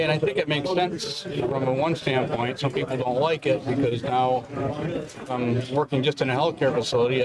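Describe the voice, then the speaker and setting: A man explaining electrical code changes as a guest expert, in a measured, explanatory way. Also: mid-range voice, rough recording. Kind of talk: technical explanation. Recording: loud crowd noise.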